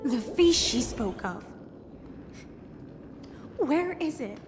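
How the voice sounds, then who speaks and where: A woman's voice: high voice, sing-song, drawn-out words, over music, full character voice. A woman playing a lost, proud sea siren warrior in a video game, grave and formal. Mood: weary, searching. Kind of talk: dramatic game acting.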